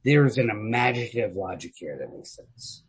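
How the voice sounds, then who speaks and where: A man chatting casually as a podcast co-host, in an informal, profane, rambling and opinionated way, with a raspy, gravelly voice. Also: lowish voice, expressive, moderate pace. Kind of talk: casual literary chat.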